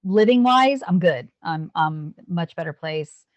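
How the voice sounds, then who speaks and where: Earnest fifty-year-old woman, halting speech. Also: on the low side, lively intonation, dry recording. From United States. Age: 50s. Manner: earnest, halting, with frequent restarts. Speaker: guest, police officer and Navy veteran. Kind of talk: candid interview talk.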